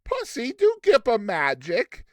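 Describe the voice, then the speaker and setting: A man voicing a small, curious boy full of wonder in a storybook reading, soft and childlike. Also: very high voice, wildly swooping pitch, a little slow, dry recording, pitched above the performer's own voice, full character voice. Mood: eager curiosity.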